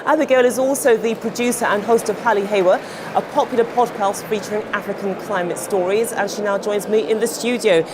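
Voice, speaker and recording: A woman's voice: medium pitch, steady tone, fairly quick. A woman hosting a broadcast interview, polished and upbeat in a conversational way. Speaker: interview host. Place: studio at an outdoor event. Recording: noisy background ambience with traffic.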